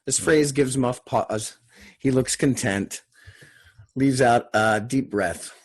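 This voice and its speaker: A man narrates screenplay directions for a table read. He speaks in short, measured phrases. His voice sits at a medium pitch with a husky edge. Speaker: stage-direction reader.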